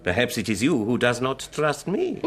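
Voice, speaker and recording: A man's voice: medium pitch, huge pitch swings, normal speed. An actor playing a wary, stiffly formal European businessman in a radio drama. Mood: suspicious. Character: formal, suspicious European businessman seeking a secret deal.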